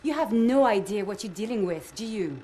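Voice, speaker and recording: A woman's voice: mid-range voice, expressive, brisk, close to an everyday voice. An actress playing a solemn, idealistic woman resistance fighter with an intense manner in a video game cutscene. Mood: ominous.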